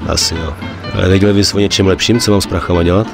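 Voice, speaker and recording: A man's voice: low voice, fairly even intonation. An actor playing a weary, cynical young gangster in a game cutscene, talking matter-of-factly about his life of crime. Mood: downcast. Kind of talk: dramatic game cutscene dialogue and narration. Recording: music under the voice.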